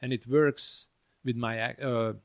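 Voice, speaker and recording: A man's voice: mid-range voice, steady tone, measured pace, no echo. A man with a Hungarian accent, a comedian chatting casually with dry, blunt humor and casual swearing. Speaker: comedian and podcast host. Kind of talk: casual chat.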